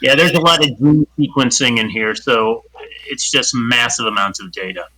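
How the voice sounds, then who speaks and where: A man's voice: mid-range voice, normal speed, sharp tone, low-quality recording. A man playing a tabletop role-playing game, talking hesitantly and putting himself down. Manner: hesitant, self-deprecating. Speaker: player. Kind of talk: casual game chat.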